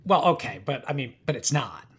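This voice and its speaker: A man's voice: medium pitch, sing-song, fairly quick, fairly bright tone, slightly rough, full character voice. A man playing a naive, eager young assistant with a hesitant, earnest manner. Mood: nervous. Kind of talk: scripted audio comedy acting.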